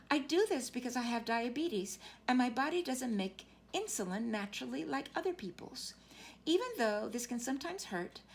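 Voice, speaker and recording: A woman reading a children's picture book aloud as a teacher would, with expressive, deliberate phrasing and questions put directly to young listeners. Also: sits high, a little hiss or hum.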